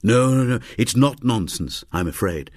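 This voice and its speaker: Man acting a raspy older scientist. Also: mid-range voice, expressive, a little mellow, no echo, studio quality, voice pushed up, natural voice. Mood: gently corrective. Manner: measured, faintly obscure. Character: mild, measured older male scientist passenger.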